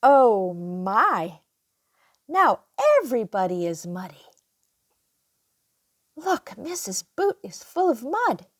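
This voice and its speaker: An older woman reading a children's picture book aloud in an expressive, unhurried way, acting out the dialogue. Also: fairly high pitch, no echo, studio quality. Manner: animated, unhurried, acts out dialogue. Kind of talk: expressive read-aloud storytelling for young children.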